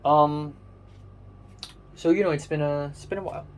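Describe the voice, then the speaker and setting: A young man from Hong Kong, whose first language is Cantonese, rambles through a personal story in English full of fillers, restarts and casual swearing. His voice sits a little high for a man. Faint background noise runs under the recording. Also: steady tone, moderate pace. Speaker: vlogger.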